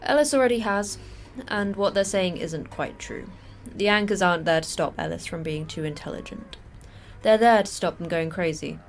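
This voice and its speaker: A woman's voice: lowish voice, normal speed, slight background noise, close to an everyday voice. A woman playing a jaded, terse station manager in an audio drama, dry and matter-of-fact. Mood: deadpan. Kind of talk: audio fiction podcast acting.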